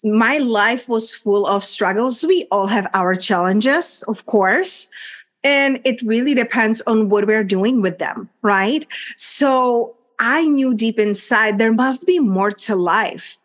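A woman's voice: on the high side, fairly bright tone. A woman with a Czech accent, a coach speaking as an interview guest in an earnest, effusive way with frequent "like" fillers. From Czech Republic. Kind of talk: candid interview chat.

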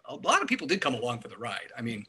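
A man's voice: mid-range voice, wildly swooping pitch, rapid-fire, bright, forceful tone, husky. A man talking casually through an album discussion, explaining his views in wordy, analytical detail.

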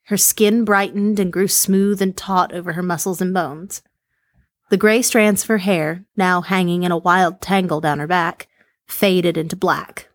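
Lady reading a spooky story, steady, dry sound. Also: on the low side, a little slow, no room sound. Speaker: story reader.

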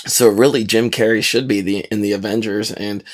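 A man's voice: lowish voice, fairly even intonation, fairly quick, over a noisy crowd. Young dude hosting his podcast, baked, cussing a lot, loose rambling rants. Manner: profane, rambling, loose and boastful. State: high on cannabis. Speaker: podcast host and rapper. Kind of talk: casual stoned podcast chat.

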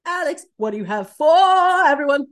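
A woman co-hosting a podcast in casual comic banter, with a giggly, playful and expressive delivery. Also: high voice, moderate pace. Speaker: podcast co-host.

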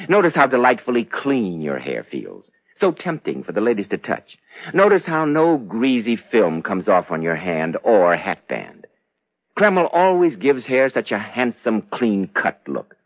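Old time radio ad guy, lowish voice, big swoopy emphasis, polished pitch, muffled dry sound. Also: dry recording. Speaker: radio announcer. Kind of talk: 1940s radio ad read. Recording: muffled vintage radio broadcast.

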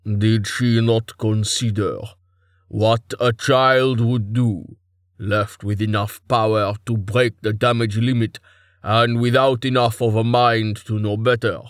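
Man playing a slow, imperious, disdainful god. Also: fairly low pitch, little pitch movement, no room sound, studio quality. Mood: scornful. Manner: patronizing, deliberate, commanding. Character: stern, contemptuous male god, imperious and disdainful. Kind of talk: audiobook character acting.

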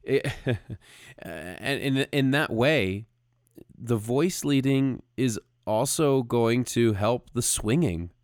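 A man co-hosting a podcast in a casual style, talking haltingly and repeating words as he forms his thoughts. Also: mid-range voice, no echo, studio quality. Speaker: podcast co-host, music arranger.

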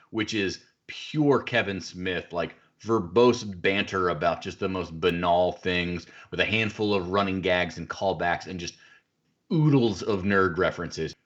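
A man's voice: deep voice, fairly bright tone, lo-fi sound. A man hosting a podcast, recapping a film in casual, chatty, wordy banter. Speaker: podcast host.

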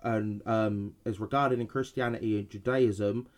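A man's voice: fairly low pitch, fairly even intonation, a little slow, a little mellow. A man co-hosting a podcast, sounding a bit nasal as he recovers from an illness, reading definitions from notes with some stumbles and restarts. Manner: reads definitions with hesitations and restarts. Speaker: podcast co-host.

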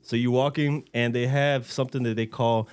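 A man's voice: mid-range voice, fairly even intonation, normal speed, darkish tone, clear, resonant tone, faint music behind. Laid-back podcast host man. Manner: laid-back, swearing, laughing banter. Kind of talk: casual podcast chat.

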